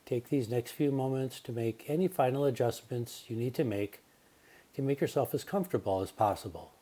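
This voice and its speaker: Very mellow, soft-edged male meditation guide. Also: medium pitch. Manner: calm, gentle, unhurried instruction with pauses. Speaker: yoga nidra teacher.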